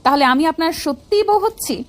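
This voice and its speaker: A woman's voice: very high-pitched, expressive, fairly quick. A woman playing a playful, demanding young wife in a romantic audio story, coy and insistent.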